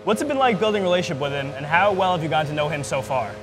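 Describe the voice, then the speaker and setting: A man's voice: on the high side, lively intonation, very fast, over music. A man acting as interviewer in a casual, chatty sports conversation.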